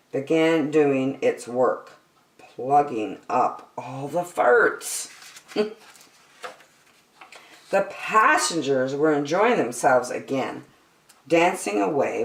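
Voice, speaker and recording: Animated woman reading a storybook, echoey room. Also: low-pitched. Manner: expressive, dramatic storytelling delivery. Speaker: story-time reader. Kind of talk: animated read-aloud of a children's picture book.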